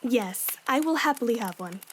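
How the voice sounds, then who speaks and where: A woman's voice: on the high side, expressive, normal speed, a little hiss or hum, lightly acted. A woman playing a firm, stern young woman with a no-nonsense manner in an anime-style audio drama. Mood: calmer, matter-of-fact.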